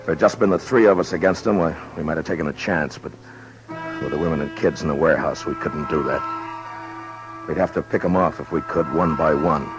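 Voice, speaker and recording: An American man playing a steady, commanding western lawman in an old-time radio drama, terse and authoritative as he gives orders and narrates. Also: very deep voice, fairly quick, over music, rough recording. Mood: grim, measured.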